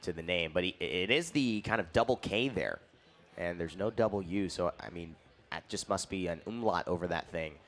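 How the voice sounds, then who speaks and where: A man's voice: deep voice, normal speed, a bit bright. A man casting a live esports tournament, mixing playful banter with game analysis. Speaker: esports caster. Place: esports expo hall arena.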